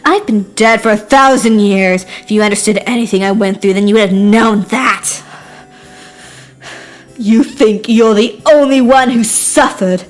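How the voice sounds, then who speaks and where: A woman's voice: a little high, measured pace, close to an everyday voice. Biting sarcasm, woman playing bitter scornful sister, cold taunts, melodramatic drama, faint music behind. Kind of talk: melodramatic amateur voice acting.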